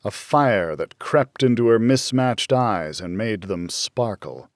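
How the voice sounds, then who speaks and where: Expressive male audiobook narrator, unhurried and dry. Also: on the low side, no room sound. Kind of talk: scripted first-person thriller narration.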